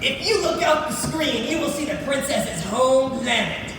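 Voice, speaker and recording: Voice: sits high, animated, normal speed. A performer playing a pompous, gleeful villainous dictator with mock-polite, theatrical gloating in a comic stage piece. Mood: imperious. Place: large echoing competition room or hall. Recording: echoey hall from far off, loud noise.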